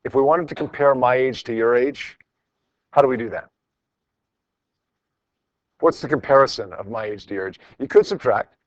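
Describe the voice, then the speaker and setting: A man's voice: medium pitch, normal speed, long pauses, no room sound, lo-fi sound. A middle-aged man teaching a class in an informal, encouraging way, repeating phrases for emphasis as he works through problems. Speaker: high school physics teacher. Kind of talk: classroom lecture, working through problems with students. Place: classroom with students.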